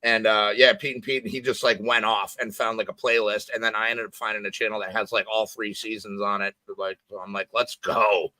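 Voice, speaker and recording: A man co-hosting a casual chat, still a little congested, rambling with many fillers and quick laughs. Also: on the low side, little pitch movement, pushed and bright, no echo. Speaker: co-host.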